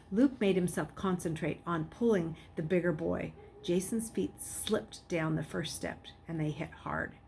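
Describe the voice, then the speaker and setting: A woman giving an expressive audiobook narration, reading a suspenseful story aloud as its narrator. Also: lowish voice, vocal fry, slight background noise.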